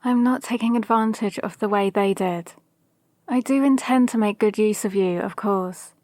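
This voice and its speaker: A woman playing a calm, possibly mad villain sorceress in an ASMR roleplay, speaking to an apprentice in a measured, condescending, gently dominant way. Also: mid-range voice, no echo, clean studio sound, natural voice. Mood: knowing, gently dominant. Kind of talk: ASMR roleplay with whispered asides. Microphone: close, dry microphone.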